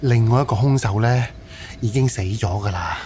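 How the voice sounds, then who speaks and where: A man's voice: medium pitch, normal speed, warm tone, close to an everyday voice. A man voicing an earnest, flustered amateur investigator in a Cantonese audio drama, explaining things in a hesitant, colloquial way. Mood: sheepish, explaining. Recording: faint background noise.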